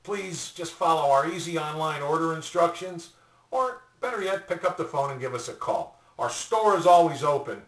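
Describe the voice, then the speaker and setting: An older man giving a scripted product explainer in a deliberate, formally worded way. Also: fairly high pitch, animated. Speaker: presenter. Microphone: webcam a little way off. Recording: roomy echo, distant mic.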